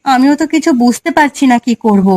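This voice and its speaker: A woman's voice: sits high, lively intonation, a little fast, darkish tone. A woman playing a practical, caring village wife in a Bengali cartoon story, with an earnest manner. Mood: concerned.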